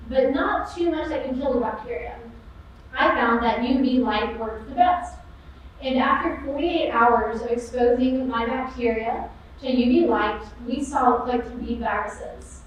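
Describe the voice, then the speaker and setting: A young woman gives a lively, playful research talk on stage. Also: on the high side. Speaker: competition presenter. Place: auditorium stage. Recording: echoey hall, far mic, faint noise.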